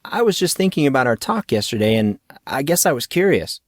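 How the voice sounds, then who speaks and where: Man as easygoing teen boy hero for an audiobook, casual and inquisitive, higher register, dry sound. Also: sing-song, a little fast, no echo. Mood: offhand. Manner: casual, questioning.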